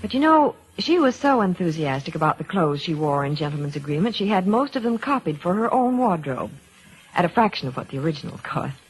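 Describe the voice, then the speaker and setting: A woman's voice: fairly low pitch, big pitch swings, fairly quick, breathy edge, low-quality recording. An American woman presenting a scripted 1940s radio commercial in a chatty, gossipy way.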